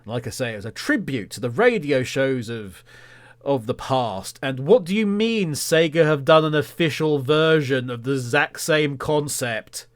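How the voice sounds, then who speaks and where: A man's voice: medium pitch, animated, unhurried. A man hosting a podcast in casual solo talk, with a rambling, self-deprecating and mock-indignant humour. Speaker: podcast host.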